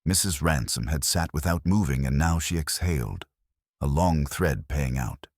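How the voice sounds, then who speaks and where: Very deep-voiced man narrating detective fiction. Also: lively intonation, moderate pace, no room sound, studio quality. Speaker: audiobook narrator. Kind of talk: scripted first-person detective story narration.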